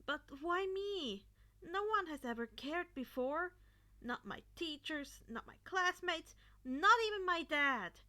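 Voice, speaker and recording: A woman's voice: very high-pitched, expressive, takes it slowly, sharp tone, pitched above the performer's own voice, heavily acted. A woman voicing a defensive, wounded teenage boy vigilante in an audio story, emotional and prone to stammering. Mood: tearful, hurt.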